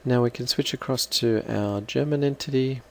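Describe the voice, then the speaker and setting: A man giving an unscripted, halting step-by-step software walkthrough as a trainer. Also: medium pitch, gravelly. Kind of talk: screen-recorded software walkthrough.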